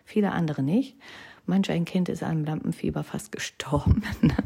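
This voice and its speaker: Woman in her thirties, casual storytelling, gravelly, warm dark tone, often whispers asides. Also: low voice, moderate pace. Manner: chatty, keeps adding "ne?", drops to whispers. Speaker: vlogger.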